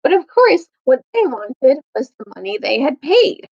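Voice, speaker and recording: A woman reads a children's story aloud in a high, expressive voice with lots of pitch movement. She trips over the odd long word, and the recording is slightly dull. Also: measured pace, vocal fry. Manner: stumbles over longer words. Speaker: storytime reader.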